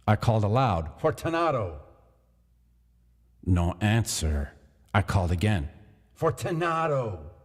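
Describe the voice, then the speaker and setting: A man narrates a gothic tale in a deep register, taking his time over each phrase with a deliberate, theatrical delivery. His words ring out and linger in a long, reverberant hall. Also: a little mellow, husky. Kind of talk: dramatic literary narration.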